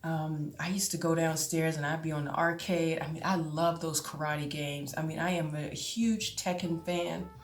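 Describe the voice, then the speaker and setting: A woman in her late forties talking casually to camera as a vlogger, chatty and often laughing, with drawn-out exclamations. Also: low-pitched, fairly even intonation. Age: 40s. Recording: echoey room with music behind.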